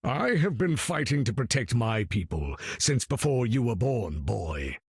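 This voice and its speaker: An actor playing a proud, commanding old male mutant leader in a video game, with a lofty, condescending manner and a husky, gravelly voice. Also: mid-range voice, big pitch swings, unhurried, no echo, clean studio sound, exaggerated voice. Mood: imperious. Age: older.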